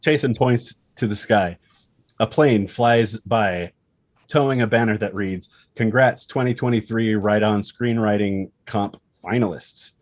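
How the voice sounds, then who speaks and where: Male narrator, low pitch, dry close sound, reading screenplay stage directions. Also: unhurried, dry recording. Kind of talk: screenplay narration at a table read.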